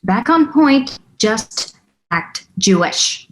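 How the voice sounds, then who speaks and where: A woman playing a teasing, bossy agent who coaches a colleague, in a deadpan table-read delivery. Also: fairly high pitch, very slow, rough recording. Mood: brisk, bossy. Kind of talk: screenplay table read acting.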